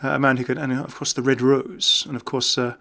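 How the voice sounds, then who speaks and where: A man's voice: mid-range voice, level tone, a little fast, vocal fry, pushed and bright. A man giving casual, off-the-cuff game commentary as a YouTuber, chatty and jokey, rambling from one remark to the next. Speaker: gaming YouTuber.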